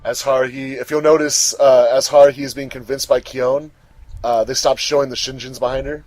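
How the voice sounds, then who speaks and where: A man's voice: medium pitch, level tone, fairly quick, a little mellow, dry recording, poor audio. A man giving casual, enthusiastic group commentary while watching an episode, explaining details as he goes. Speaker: fandub cast member on a commentary track.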